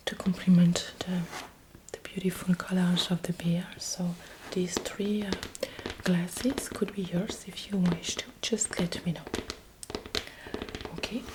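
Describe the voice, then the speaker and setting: A woman with a French accent softly whispering an ASMR shop roleplay in a breathy, gentle way, as if serving a customer. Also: fairly low pitch, noisy background. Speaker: ASMR host playing a beer shop assistant.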